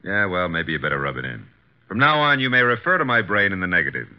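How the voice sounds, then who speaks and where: An actor playing a wisecracking, loyal American detective's assistant, breezy and slangy, in 1950s radio drama style. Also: male voice, low voice, sing-song, fast. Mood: wry, puzzled. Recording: dull vintage 1950s radio recording.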